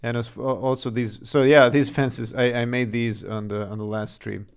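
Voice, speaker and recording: A man's voice: medium pitch, steady tone, moderate pace, creaky, clear, resonant tone. A young man from Serbia with a slight Serbian accent, casually thinking aloud and chuckling as he talks through his game development on a livestream.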